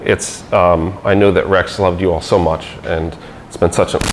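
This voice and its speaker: A man giving halting remarks at a memorial service, full of ums and restarts. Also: low-pitched, steady tone, noisy background. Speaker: memorial speaker. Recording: echoey hall from far off, guitar music.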